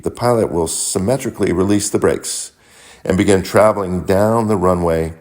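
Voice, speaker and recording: Male narrator, low-pitched, delivering careful step-by-step instructional voice-over as an experienced pilot. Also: darkish tone. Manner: measured, methodical, instructional. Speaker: pilot and flight mentor, video host. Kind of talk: scripted explanatory narration.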